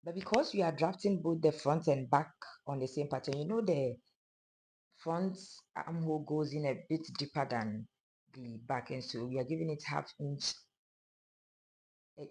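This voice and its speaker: A sewing instructor with a hoarse, fading voice, calmly narrating a pattern-drafting tutorial step by step. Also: mid-range voice, a little slow, long pauses, poor audio. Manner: calm, step-by-step explaining of measurements. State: hoarse, losing voice.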